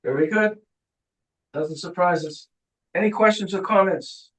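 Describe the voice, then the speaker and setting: A man runs a town committee meeting from a boardroom, pausing often on fillers. His somewhat high voice sounds distant, caught by a room mic with plenty of echo. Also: a bit bright. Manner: hesitant, uses filler words. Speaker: committee chair. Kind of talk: chairing a meeting. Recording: echoey room, from far off.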